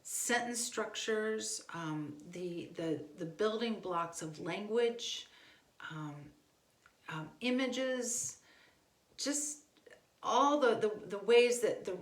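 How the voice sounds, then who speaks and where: A woman's voice: medium pitch, slow pace. A middle-aged woman gives an informal instructional talk, speaking conversationally and leaning on repeated words for emphasis. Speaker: homeschool instructor. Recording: echoey, roomy sound.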